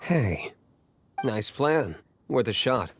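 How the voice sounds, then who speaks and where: A man's voice: mid-range voice, big pitch swings, a little hiss or hum, full character voice. A cool, curt young nobleman. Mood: terse. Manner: cool, terse, measured. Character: aloof, wealthy young male nobleman, curt and composed. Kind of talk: video game dub acting.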